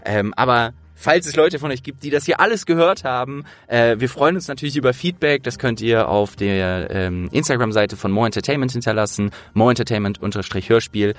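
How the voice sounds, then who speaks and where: A man's voice: mid-range voice, brisk, hardly any pauses. A man hosting a podcast in a casual, chatty way, feeling for his words as he goes. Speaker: podcast host and producer.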